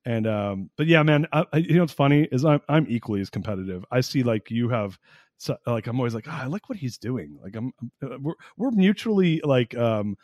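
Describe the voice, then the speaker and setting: A man in his forties hosts a casual podcast chat, excitable and animated, cursing freely and laughing often. His voice sits at an ordinary middle pitch. The sound is dry and close, with no room around it. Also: fairly quick, no room sound. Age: 40s. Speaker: podcast host.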